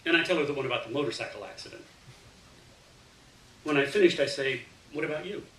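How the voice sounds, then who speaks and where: A man reads a first-person literary story aloud as an expressive storyteller, performing the dialogue within the narration. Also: a little high, fast talker. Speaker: story reader. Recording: roomy, distant mic, faint hiss.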